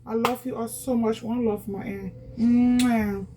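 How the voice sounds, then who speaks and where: Casual Liberian woman vlogger, talkative and repetitive, warm mellow voice pitched a bit high. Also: fairly even intonation, measured pace, slight background noise. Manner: chatty, repetitive, talks straight to viewers. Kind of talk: casual product unboxing vlog.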